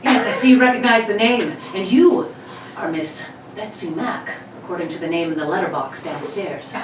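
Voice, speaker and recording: Woman playing a suave gentleman burglar, distant and roomy. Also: medium pitch, natural voice. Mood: smug, teasing. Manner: genteel, unhurried, teasing. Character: suave, mockingly polite male gentleman burglar. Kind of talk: 1930s radio play reading. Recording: roomy, distant mic, clear noise.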